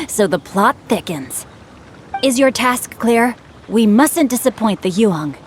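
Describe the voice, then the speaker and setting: Poised, genteel young master. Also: female voice, a little high, big pitch swings, slow, a little hiss or hum. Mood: intrigued. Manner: formal, eloquent, poised. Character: refined, gallant, justice-loving boy, young guild master. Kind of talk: video game cutscene acting.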